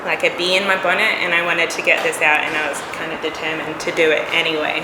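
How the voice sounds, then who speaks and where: Hoarse woman preaching in an echoey church. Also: sits low, fast talker. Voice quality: coughs now and then. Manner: relaxed, conversational, chatty asides. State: hoarse, has lost her voice. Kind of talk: casual sermon. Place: church hall. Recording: echoey church hall, air conditioning hum.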